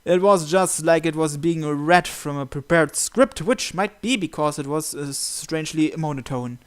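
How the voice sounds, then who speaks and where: High-voiced man reading a visual novel aloud. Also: normal speed, smooth. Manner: stumbles and breaks off to comment on the text. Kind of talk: reading story narration aloud with casual asides.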